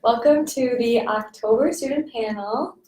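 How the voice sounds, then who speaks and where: Young woman panel host, friendly, a bit high, roomy recording. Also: measured pace, dark tone. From Fargo, North Dakota. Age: young adult. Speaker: panel host, admissions ambassador. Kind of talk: hosting a student panel. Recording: echoey room.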